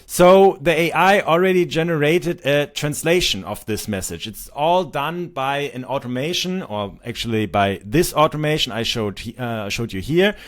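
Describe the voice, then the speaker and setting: A man in his thirties with a German accent, a native German speaker, casually walking through a demo of software he built, with frequent ums and restarts. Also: fairly high pitch, lively intonation, moderate pace, hardly any pauses. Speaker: software developer demoing his tool. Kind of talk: screen-recorded software tutorial.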